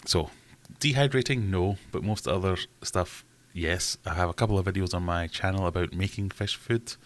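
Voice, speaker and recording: Deep-voiced man, chatty livestream host. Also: normal speed. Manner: rambling, self-deprecating, chatty. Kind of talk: casual live chat reading comments. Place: home.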